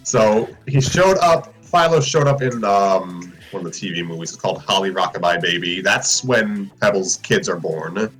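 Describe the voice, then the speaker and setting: A man with a fairly low voice talks casually, laying out details in a matter-of-fact, explanatory way. Music plays faintly behind him. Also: lively intonation, normal speed, hardly any pauses, a bit bright, poor audio. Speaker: show regular discussing cartoon lore. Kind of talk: casual group chat.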